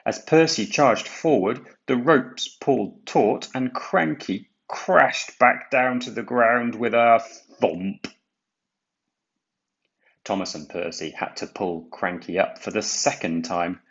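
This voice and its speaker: A man reading a children's storybook aloud, with deliberate, dramatic emphasis. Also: medium pitch, creaky, fairly bright tone, highs cut off. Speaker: storybook reader. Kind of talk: expressive children's read-aloud narration.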